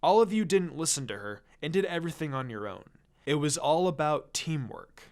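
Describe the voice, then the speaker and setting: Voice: medium pitch, expressive, fairly quick, a little mellow, lightly acted. A narrator voicing a stern, serious male ninja teacher who lectures, in a story reading.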